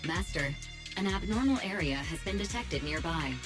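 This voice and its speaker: A woman's voice: lowish voice, animated, normal speed, over music, natural voice. A woman voicing a formal female AI assistant reporting detections. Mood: dutiful.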